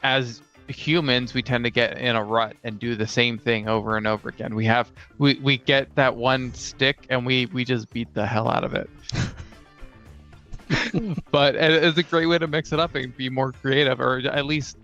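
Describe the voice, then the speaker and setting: A man chats casually as a gaming podcast co-host, his medium-pitched voice edged with gravelly fry. He speaks haltingly, repeating phrases. The recording is a little dull, with music behind. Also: steady tone, sharp tone. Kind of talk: casual chat about tabletop gaming.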